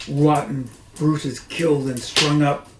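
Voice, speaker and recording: A man voicing a big, coarse servant woman in a storybook, shouting bluntly. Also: on the high side, fairly even intonation, measured pace, voice pushed up, close to an everyday voice. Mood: gruesome relish. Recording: echoey room, noisy.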